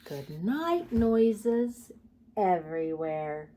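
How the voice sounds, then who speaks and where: A woman reading picture books aloud to preschoolers as a storytime host, expressive and putting questions to young listeners. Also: fairly low pitch, extremely slow, a little hiss or hum. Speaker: children's storytime host and reader. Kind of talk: read-aloud picture book narration for preschoolers.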